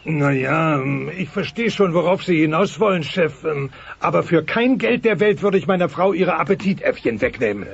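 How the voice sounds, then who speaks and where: An actor playing a blustering, easily bought dinosaur dad in a comedy radio play, comically earnest, recorded on a dull, noisy cassette. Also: male voice, on the high side, animated, normal speed, breathy edge, no room sound. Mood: flustered pleading. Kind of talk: German-dubbed comedy radio play acting.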